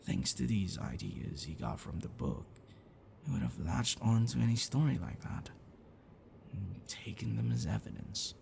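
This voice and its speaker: A gentle, bookish half-dragon boyfriend, acted by a man in an intimate ASMR roleplay. His voice is low and gravelly, with lively swings in intonation. He speaks haltingly and tenderly, as if apologizing to a sweetheart. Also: slight background noise, heavily acted. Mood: concerned. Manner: halting, soft chuckles and sighs, dropping to whispers.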